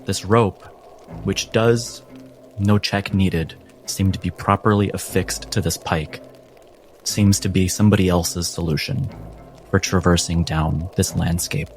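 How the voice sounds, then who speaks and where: A low-voiced man acts as game master, setting scenes slowly and dramatically. He leaves deliberate pauses between phrases, and music plays clearly underneath. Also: fairly even intonation. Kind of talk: slow, atmospheric improvised narration of a tabletop game.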